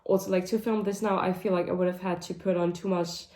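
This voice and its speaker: A woman with a hoarse, raspy voice talking casually through a book wrap-up, with lots of "like" and "um" fillers. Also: fairly low pitch, flat delivery, fast talker, darkish tone. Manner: rambling, full of "like" and "um" fillers. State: hoarse, voice sounding deep and raspy. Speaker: book vlogger. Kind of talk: casual monthly reading wrap-up chat. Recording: roomy echo.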